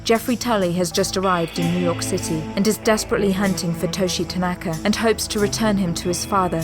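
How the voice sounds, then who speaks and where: A woman reading scripted dramatic narration as the narrator of an audio drama. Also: lowish voice. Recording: music bed under the voice. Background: music.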